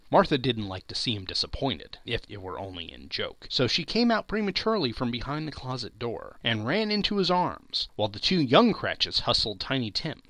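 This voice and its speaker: A man gives a dramatic audiobook reading in a medium-pitched, forceful voice. His delivery is theatrical and very animated, leaning hard on key phrases. The sound is dry, with no room around it. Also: dry recording. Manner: theatrical, emphatic, expressive. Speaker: podcast host and narrator. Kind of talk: dramatic literary audiobook narration.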